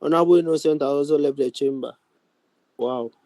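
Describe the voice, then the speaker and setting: A young man with a Ghanaian accent chairs a formal online meeting, speaking deliberately with frequent pauses. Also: a little high, mellow, no echo. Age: young adult. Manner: deliberate, halting, with many pauses. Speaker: speaker of a youth parliament. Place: online video call.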